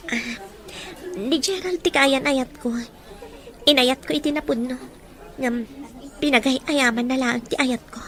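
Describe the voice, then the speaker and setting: Young Filipina played by a woman, high voice, apologetic and hurt in love, radio drama. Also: a little slow, pauses often, fairly bright tone, some chatter behind. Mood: sorrowful, apologetic. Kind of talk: Ilocano audio drama acting.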